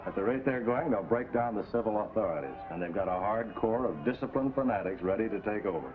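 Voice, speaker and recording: Earnest, grim field agent played by a man, urgent briefing tone, vintage drama sound with clear noise and narrow band. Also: fairly low pitch, expressive, quick pace, lightly acted. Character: grim, worried male undercover field agent. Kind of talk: 1950s TV drama dialogue. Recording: tinny, noisy vintage TV soundtrack.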